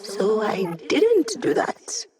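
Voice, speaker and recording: A woman's voice: a little high, big pitch swings, slow pace, mellow. An actress playing a wry young female hacker who supports the team with dry, sarcastic quips in game voice lines. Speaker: video game character.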